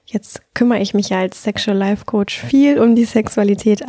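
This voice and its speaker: Soft-spoken woman with a nasal cold. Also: medium pitch, dry recording, clean studio sound. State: has a cold, slightly nasal. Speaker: podcast host and sexual life coach. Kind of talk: solo podcast talk.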